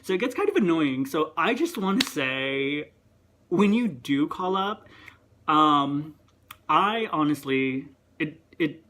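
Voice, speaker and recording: A young man talks in a casual vlog rant. His voice sits a little high, and strangers sometimes take it for a woman's. He rambles, breaks off mid-thought and circles back. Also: sing-song, slow pace, sharp tone, a little hiss or hum. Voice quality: higher voice, sometimes heard as female. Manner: rambling, chatty, self-interrupting. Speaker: vlogger.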